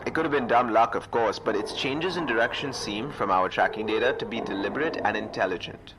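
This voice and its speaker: An actor playing an earnest, businesslike outpost official in a sci-fi audio drama, speaking in a measured, explanatory way. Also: male voice, on the low side, very noisy, close to an everyday voice. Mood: earnest, uneasy. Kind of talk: audio fiction acting.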